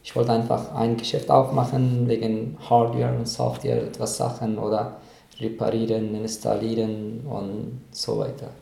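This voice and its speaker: Mellow, gravelly man with an Afghan accent. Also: mid-range voice, monotone. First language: Dari. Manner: halting, searching for words in learner's German. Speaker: interviewee, asylum seeker. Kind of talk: casual interview answers. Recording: roomy, echoing sound.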